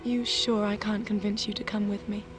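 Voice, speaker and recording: An actress playing a flirtatious, carefree, glamorous socialite in a video game cutscene, with a breezy way of talking. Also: female voice, medium pitch, little pitch movement, moderate pace, lightly acted. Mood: playful, wistful. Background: background music.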